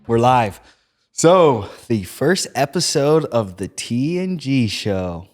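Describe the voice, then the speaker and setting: A young man hosting a casual podcast, talking in an animated, rambling way with lots of fillers. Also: mid-range voice, slow, faint music behind. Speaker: podcast host.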